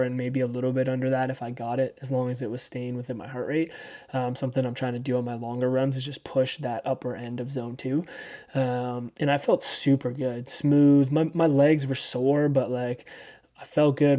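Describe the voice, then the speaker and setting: 30ish guy rambling through his running week, flat mellow voice, lots of ums. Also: medium pitch. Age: 30s. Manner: relaxed, rambling with frequent ums. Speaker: running vlogger. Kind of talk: casual weekly recap.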